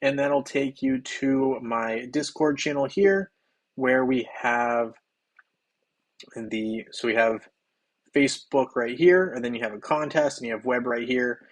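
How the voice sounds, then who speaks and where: Slightly hoarse upbeat young male streamer. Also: mid-range voice, measured pace. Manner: upbeat, greets chatters by name. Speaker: game livestreamer. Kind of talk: casual livestream chat.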